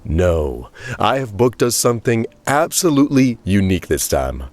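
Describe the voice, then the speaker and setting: A man's voice: on the low side, big pitch swings, normal speed, darkish tone. A man playing a wealthy, smug, urbane husband in an audiobook, with a suave, theatrical, droll delivery. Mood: playful, assured. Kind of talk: audiobook character acting.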